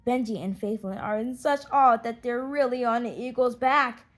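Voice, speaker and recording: A woman narrating a children's audiobook in an expressive storytelling manner. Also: fairly high pitch, normal speed.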